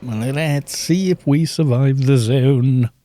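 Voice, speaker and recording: A mellow, soft-spoken man, chatting loosely through a good studio microphone at home while he plays. His talk rambles along with small chuckles, and a faint ambient hum sits behind him. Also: mid-range voice, slow. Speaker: livestream gamer. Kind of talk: casual chat while gaming, reading chat.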